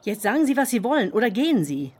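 A stern older woman for a children's audio drama. She is curt and stubborn, giving ground only grudgingly. Her pitch moves in a very lively way. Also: fast, breathy edge. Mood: curt, suspicious. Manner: curt, blunt.

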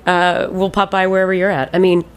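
A woman's voice: fairly low pitch, level tone, brisk, smooth. A woman speaking casually as an interview guest, chatting about her business. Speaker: guest, ice cream business representative.

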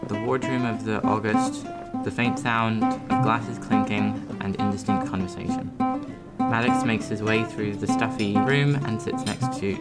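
A man plays a polite, earnest young midshipman who tells a seafaring tale in audio-drama style. His voice is fairly low and unaffected. Loud plucked-string music plays behind him. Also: lively intonation, measured pace. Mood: calm narrating. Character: green, polite, earnest young male midshipman, also narrating. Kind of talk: period radio drama acting and narration.

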